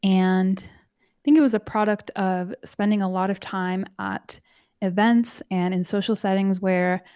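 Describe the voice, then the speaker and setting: A soft-spoken woman talking calmly and evenly as a guest in a casual interview. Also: mid-range voice, clean tone, no echo, dull audio. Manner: calm, measured, soothing. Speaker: podcast host and guest.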